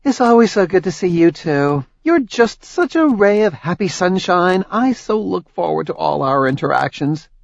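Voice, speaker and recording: A male narrator voicing a cheerful, gently teasing young woman with a light, dryly sarcastic manner. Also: medium pitch, expressive, a little slow, no room sound. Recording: dry, slightly dull audiobook recording.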